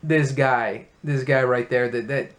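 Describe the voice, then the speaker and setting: A man's voice: mid-range voice, fairly even intonation, a little slow. A man hosting a casual movie review, talking as an enthusiastic fan in a room full of memorabilia.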